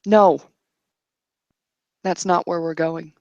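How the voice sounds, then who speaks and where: Woman, game master, lowish pitch, halting improvised narration, directive, very dry recording. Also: steady tone, gravelly, darkish tone, dry recording, muffled recording. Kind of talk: improvised tabletop roleplay narration.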